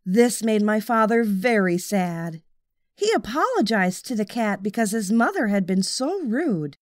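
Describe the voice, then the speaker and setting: A woman's voice: mid-range voice, very animated, measured pace, no room sound, studio quality. A woman reading a children's audiobook as scripted storybook narration.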